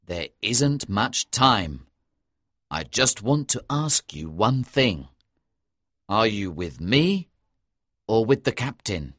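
A woman voicing a serious young male ship officer in a read-aloud story, speaking low, earnest and urgent. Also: very animated, slow, long pauses, a bit bright, no echo, clean studio sound, exaggerated voice. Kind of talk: audiobook reading.

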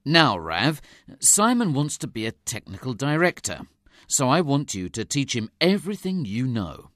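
A man's voice: medium pitch, big pitch swings, moderate pace, fairly bright tone, no echo, clean studio sound. A man playing a proud, hearty middle-aged father who runs a TV company and gives instructions. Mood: instructing.

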